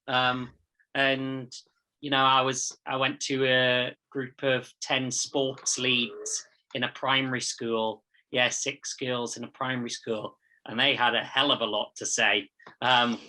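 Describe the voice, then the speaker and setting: Slow, bright-voiced man, fairly even in pitch. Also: poor audio. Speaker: webinar participant. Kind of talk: halting conversational contribution. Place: video call.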